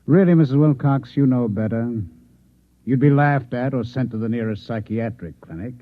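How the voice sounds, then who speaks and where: A man playing a coolly menacing Martian infiltrator posing as a newspaper man in an old-time radio drama, measured, formal and insinuating. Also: mid-range voice, natural voice. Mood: controlled, probing. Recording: echoey, phone-like old radio drama recording.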